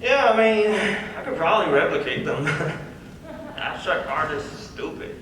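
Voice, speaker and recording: A man acting in a live script reading as an awkward, evasive comedian, halting and dismissive. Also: a little high, very animated, fairly bright tone. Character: awkward, evasive adult male comedian in therapy. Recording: distant echoey hall, faint noise.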